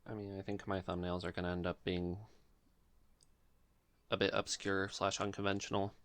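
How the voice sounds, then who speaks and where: A man's voice: deep voice, monotone, normal speed, fairly bright tone, no echo. A man hosting a casual podcast chat, giving short supportive replies. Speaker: podcast host.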